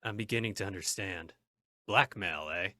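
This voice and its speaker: An actor playing a stern, righteous masked crime fighter in an old-time radio drama, with formal, deliberate, commanding phrasing. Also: male voice, low-pitched, bright, forceful tone. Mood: cool, commanding.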